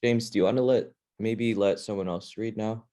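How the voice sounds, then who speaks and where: A man's voice: on the low side, steady tone, normal speed, mellow. A man speaking as the leader of a reading club, checking in with readers and prompting them to take turns. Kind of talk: prompting readers in a group session.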